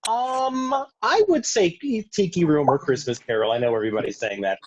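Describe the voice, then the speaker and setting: A man chatting casually on a video call, halting and circling back over his phrases. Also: a little high, expressive, noisy background. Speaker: cast member. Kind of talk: casual chat. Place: online group video call. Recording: dull lo-fi video call audio.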